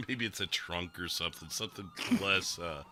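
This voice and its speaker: A man's voice: sits low, normal speed, sharp tone. A man hosting a podcast, chatting casually about gaming roleplay. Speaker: podcast host. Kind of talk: casual chat reviewing gaming roleplay footage.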